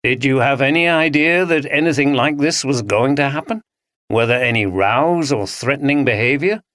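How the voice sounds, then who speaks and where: A man voicing a polite young male detective who speaks in a measured, official way. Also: mid-range voice, a bit bright, no room sound, studio quality, voice pushed up, close to an everyday voice. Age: young adult.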